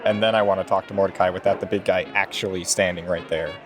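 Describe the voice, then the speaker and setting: A man's voice: low-pitched, fairly even intonation, fast. A man playing a tabletop role-playing game, casually discussing rolls and his character's plans. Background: music.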